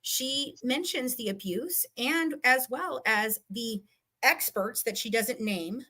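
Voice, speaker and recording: A woman's voice: a little high, expressive, takes it slowly, pushed and bright. A woman giving heated, combative commentary in a casual live chat, repeating phrases for emphasis. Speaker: guest.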